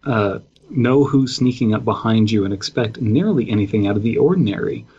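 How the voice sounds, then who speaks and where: A man with a rough, husky voice on the low side chats and reads aloud as a podcast co-host. The recording is slightly dull and lo-fi. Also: animated, fairly quick, mellow. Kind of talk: casual chat and reading aloud. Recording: dull, lo-fi microphone sound.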